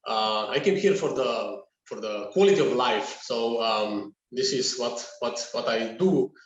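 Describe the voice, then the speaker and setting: A middle-aged man with a Slovenian accent, answering casually as a podcast guest, often halting and repeating words. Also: mid-range voice, muffled recording. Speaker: podcast guest, agency founder. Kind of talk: casual conversational interview answer. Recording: echoey room, distant from mic.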